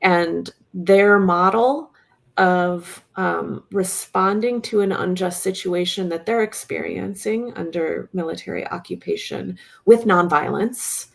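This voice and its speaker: A woman speaking as a panel guest in an earnest, halting way, often pausing to search for words. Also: on the low side, vocal fry. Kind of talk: reflective panel discussion.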